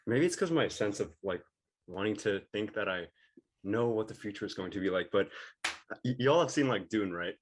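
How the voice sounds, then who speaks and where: Young guy on a panel, lowish voice, super casual, slangy. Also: expressive, moderate pace. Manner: informal, slangy. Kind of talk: casual chat.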